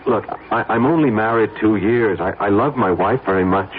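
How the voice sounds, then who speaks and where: A man's voice: lowish voice, moderate pace, natural voice. An actor playing a young married office man, anxious, defensive and stammering, in an old-time radio drama. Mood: flustered, pleading. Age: young adult. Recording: tinny band-limited radio recording, faint noise.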